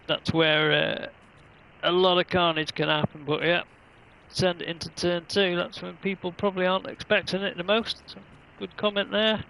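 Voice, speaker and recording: A man's voice: high-pitched, flat delivery, normal speed, creaky, sharp tone, a little hiss or hum, low-quality recording. A man commentating casually on an online racing livestream, rambling along in an easygoing, hesitant way.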